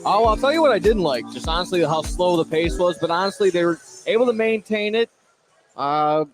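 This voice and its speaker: An American man giving unscripted color commentary on a live basketball game. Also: fairly high pitch. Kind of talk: unscripted sports analysis. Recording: live game with crowd behind. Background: crowd.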